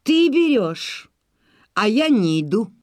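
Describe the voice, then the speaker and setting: A woman's voice: on the high side, very animated, slow pace, a little mellow, faint music behind, pitched above the performer's own voice. A woman voicing a haughty, fickle heron with a disdainful, folksy manner in an animal tale. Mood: haughty, scornful. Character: haughty, fickle female heron, scornful and proud.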